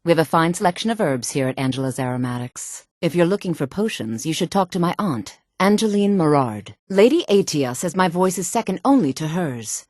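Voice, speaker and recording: A self-assured, sultry woman from a fantasy video game. Her voice sits low and is recorded very dry. She speaks with measured poise and a haughty edge, like someone used to being obeyed. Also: a little mellow, no echo. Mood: cool, courteous. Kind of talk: video game character dialogue.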